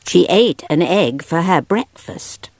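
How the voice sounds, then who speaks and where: A woman narrating a children's picture book aloud in an expressive storytelling manner. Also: fairly low pitch, slow pace, no room sound.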